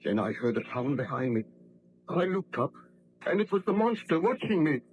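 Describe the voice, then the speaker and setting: An anguished baron and scientist, desperate with guilt. His delivery is melodramatic and formal in the old radio manner, in a slightly high male voice. The recording is vintage, with some noise. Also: very animated, moderate pace, muffled recording. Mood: haunted recounting. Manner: theatrical, impassioned, formal diction. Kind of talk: old-time radio drama acting.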